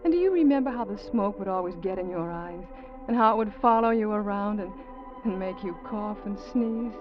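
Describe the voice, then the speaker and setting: An actress playing a young wife's ghostly voice that calls to her husband softly and dreamily, in 1940s radio drama style. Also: mid-range voice, fairly quick, close to an everyday voice. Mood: tender. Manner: soft, dreamy and beckoning. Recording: dull old radio recording over music.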